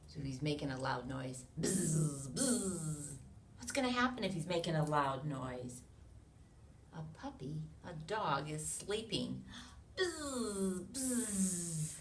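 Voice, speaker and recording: An American woman reads a picture book aloud to young children in an expressive way, with sound effects, questions and hushed asides to her listeners. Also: sits low. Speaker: children's read-along reader. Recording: roomy, distant mic with noise.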